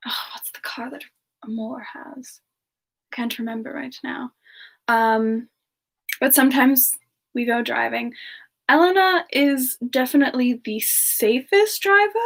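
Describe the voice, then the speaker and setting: Woman acting as gentle young female demon, sweet and chatty, semi-ASMR stream style, higher pitch, very unhurried, hesitant pauses. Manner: gentle, hesitant, pausing often mid-sentence. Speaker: host of a live Q&A stream. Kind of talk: semi-ASMR, casual in-character chat with viewers.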